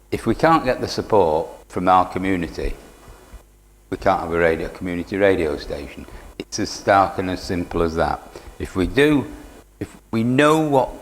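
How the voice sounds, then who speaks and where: An older man, talking plainly and earnestly in a live interview, with dry humour. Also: low voice. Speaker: community radio station manager, interview guest. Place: radio studio stage in an indoor market. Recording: long reverb of a live hall.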